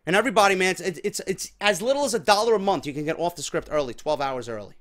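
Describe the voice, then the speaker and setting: A man's voice: sits high, expressive, fast, a bit bright. A man with a New York accent hosting a podcast, chatting casually to viewers in an informal, emphatic, sweary way. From New York, USA. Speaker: podcast host.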